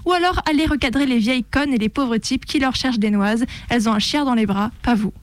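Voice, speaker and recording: A woman's voice: on the high side, a little fast, no room sound. A woman with a French accent presenting a scripted opinion piece on radio in a militant, rhetorical, slangy way. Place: radio studio.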